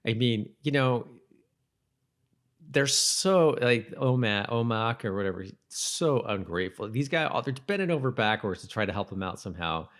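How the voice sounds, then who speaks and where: A man co-hosting a podcast, chatting informally about a TV show, haltingly and repeating himself for emphasis. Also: medium pitch, animated, fairly bright tone. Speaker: podcast co-host. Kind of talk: casual TV-recap chat.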